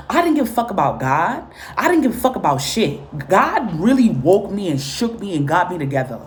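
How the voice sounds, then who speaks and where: A woman from Guatemala and Honduras, Spanish her first language, giving a casual spoken reading with blunt, profane, repetitive commentary. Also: on the low side. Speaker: tarot and spiritual reader.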